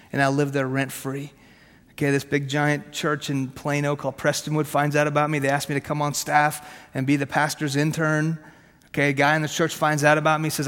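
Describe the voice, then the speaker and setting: Fast-talking preacher man, Farsi-native. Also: a little high, fairly bright tone. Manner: conversational and punchy, asks questions, jokes at himself. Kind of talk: sermon with personal testimony. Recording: long hall reverb.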